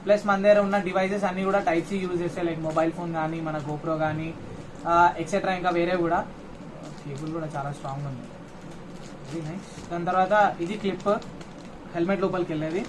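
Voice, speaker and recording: A man with a distinctly high voice and a Telangana accent talks in a casual vlogging style. He speaks informally, slipping English words into his sentences. A faint layer of noise sits behind his voice. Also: fairly even intonation, fairly quick. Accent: Telangana Telugu. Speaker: motovlogger. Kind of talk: casual unboxing vlog chat.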